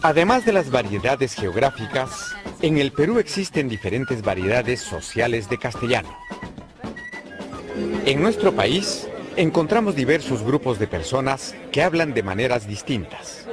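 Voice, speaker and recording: Man, voice-over narration, varied lively intonation, clear explaining. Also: mid-range voice, unhurried, over music. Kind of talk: scripted narration.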